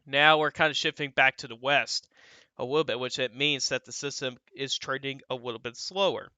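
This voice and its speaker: A man's voice: mid-range voice, brisk, bright, forceful tone, dry recording. A young man who sounds a little stuffy and dry in the throat, talking casually through severe weather forecast models as the host of his own weather channel. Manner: loose and conversational, repeats filler phrases.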